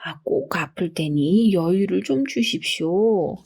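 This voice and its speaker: Storybook peasant father, read by a woman: humble, frightened, tearfully begging, mellow tone. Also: fairly low pitch, expressive, unhurried, gravelly, husky, exaggerated voice. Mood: pleading. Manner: deferential, fearful, tearful pleading. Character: poor, timid farmer, devoted father, humbly pleading.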